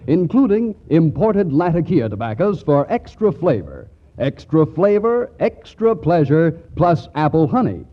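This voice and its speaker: Dull radio, animated male announcer. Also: on the high side. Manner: polished, reassuring. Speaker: commercial announcer. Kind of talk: 1940s radio advertising pitch. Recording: dull 1940s radio recording.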